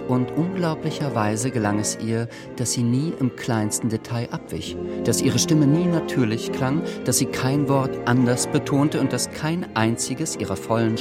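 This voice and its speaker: A man reading scripted literary narration for a radio drama. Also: medium pitch. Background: music.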